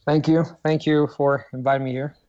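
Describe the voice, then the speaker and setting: A man's voice: fairly high pitch, flat delivery, brisk, mellow, smooth, no echo, lo-fi sound. A man whose first language is Italian, answering interview questions in a thoughtful, hesitant way with many fillers and restarts. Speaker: guest, polyglot. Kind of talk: casual interview talk.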